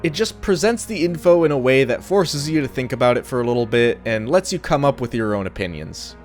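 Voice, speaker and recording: A man's voice: mid-range voice, animated, brisk, soft background music. A young adult man reading a scripted review in a casual, opinionated, sardonic way. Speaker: video reviewer.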